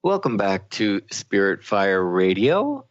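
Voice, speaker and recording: A man's voice: fairly low pitch, sing-song, takes it slowly, highs cut off, over loud music. A man co-hosting a talk radio show, speaking in a reflective, conversational way and often rephrasing his points as he explains.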